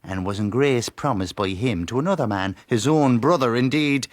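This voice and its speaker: Man playing wry Irish peasant narrator for a radio play, medium pitch, folksy and lyrical. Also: normal speed, no echo, clean studio sound, lightly acted. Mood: vivid, storytelling. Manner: lyrical, colloquial. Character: Irish peasant storyteller, wry and loyal brother.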